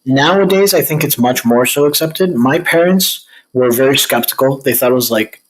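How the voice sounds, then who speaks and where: A man's voice: mid-range voice, normal speed, low-quality recording. A man talking casually as an interview guest and business owner. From Egypt, by birth.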